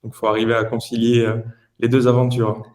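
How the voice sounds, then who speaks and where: A man's voice: mid-range voice, completely flat delivery, fairly quick, darkish tone. A man in his late twenties from southern France, giving conversational answers as an entrepreneur guest on a podcast. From Toulouse, southern France.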